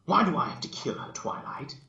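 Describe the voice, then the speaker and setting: A man's voice: on the high side, big pitch swings, fairly quick, creaky, sharp tone, reverberant, dull audio, voice pushed up, heavily acted. A man voicing a brash, defiant tomboy female cartoon pegasus with a blunt, protesting manner in a fan story reading. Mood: protesting, pleading.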